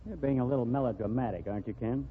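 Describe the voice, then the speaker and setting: An actor playing a cautious, proper society husband in 1940s radio acting, fussy and disapproving. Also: male voice, mid-range voice, rapid-fire, natural voice. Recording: noisy tinny old radio broadcast.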